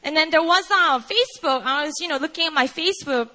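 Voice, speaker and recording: High, bright Korean-accented woman preaching. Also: quick pace. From South Korea. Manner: conversational, full of "you know" fillers. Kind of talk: sermon.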